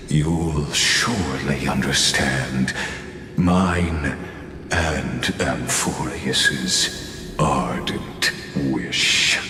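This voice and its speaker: Game villain: zealous, scholarly machine schemer, extremely slow theatrical delivery, echoing, music underneath. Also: male voice, rumbling low, heavily acted. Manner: slow, theatrical, grandiloquent speech. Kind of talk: scripted game villain monologue. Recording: echoey hall reverb, music behind.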